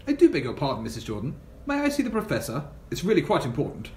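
A man acts a courteous, understated fugitive gentleman in a radio play. He talks quickly with very mobile pitch in an echoey room. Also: huge pitch swings. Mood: polite, earnest. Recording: echoey room, faint noise.

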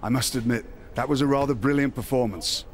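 A man's voice: medium pitch, brisk, a little hiss or hum, close to an everyday voice. A man voicing a formal, refined and condescending wrestling executive in a video game. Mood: measured.

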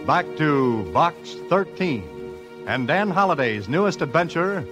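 A man's voice: a little high, lively intonation, measured pace, music in the background. A man announcing in the style of 1940s radio drama.

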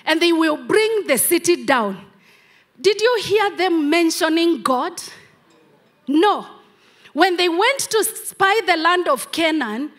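A woman's voice: extremely high pitch, a bit bright. A middle-aged Kenyan woman whose first language is Kamba, preaching a sermon from a church stage into a handheld mic, with emphatic repeated phrases. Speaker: pastor. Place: large church hall, on stage.

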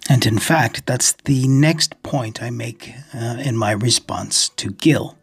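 A man narrating an explanatory screen-recording video as host, in a measured, deliberate manner with a husky, gravelly voice. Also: medium pitch, soft background music. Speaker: ham radio video host.

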